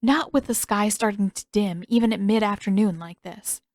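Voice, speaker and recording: A woman's voice: medium pitch, normal speed, darkish tone, no room sound, clean studio sound. A woman reading scripted fiction as the narrator of a story. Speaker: podfic narrator and author.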